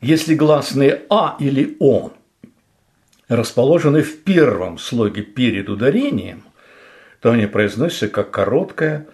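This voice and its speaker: A man teaching pronunciation in a scripted lesson, speaking slowly and deliberately and stressing vowels for demonstration. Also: mid-range voice, darkish tone. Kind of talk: scripted instructional narration.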